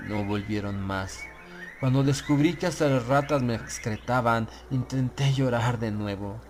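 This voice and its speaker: A man narrating a gothic horror tale in the first person, with a solemn, measured literary delivery. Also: medium pitch, background noise. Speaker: audiobook narrator. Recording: music bed under the voice. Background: prominent music.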